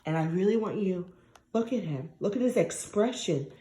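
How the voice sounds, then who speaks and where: A woman teacher reading a story aloud to young children, expressive and coaxing, often asking questions and stressing key words. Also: mid-range voice, moderate pace, a little hiss or hum. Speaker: primary school teacher.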